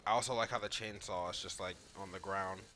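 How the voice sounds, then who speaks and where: A man's voice: low voice, fairly even intonation, fairly quick, pushed and bright, slight background noise. A man giving casual, analytical commentary on video game footage.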